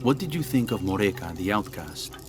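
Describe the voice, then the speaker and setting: Lowish-voiced roguish gambler played by a man. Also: animated, a little fast, lightly acted. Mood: sly, amused. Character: proud, roguish gambler mentor, sly and philosophical. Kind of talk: video game cutscene acting. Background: music.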